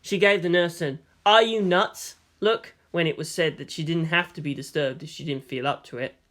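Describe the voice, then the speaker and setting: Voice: mid-range voice, fairly quick, fairly bright tone. Audiobook narrator reading fiction.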